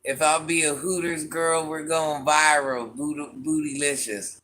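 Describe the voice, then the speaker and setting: A woman's voice: low-pitched, steady tone, a little slow, a bit bright, a little hiss or hum. A woman hosting a casual livestream, reading viewer comments aloud and teasing them by name with a laugh. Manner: calls herself Granny.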